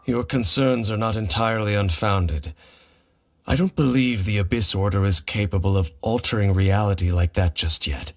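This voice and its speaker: An actor playing a grave, reserved male wanderer in a video game, speaking in a formal, measured and calm way. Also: fairly low pitch, lightly acted.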